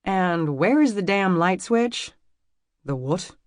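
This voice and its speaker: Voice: mid-range voice, animated, no echo, clean studio sound, voice pushed up. Narrator doing a flustered woman. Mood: exasperated. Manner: rapid, exasperated outbursts. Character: flustered, sharp-tongued young woman doctor. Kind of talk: audiobook character voice.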